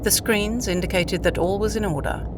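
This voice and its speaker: A woman's voice: mid-range voice, moderate pace, noisy background. A woman reading scripted story narration for an audio play.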